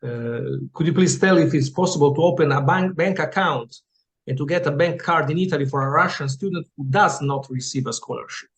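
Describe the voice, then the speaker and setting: A man's voice: fairly high pitch, a little fast. A man moderating an online session, reading out audience questions politely. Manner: polite, stumbles over names.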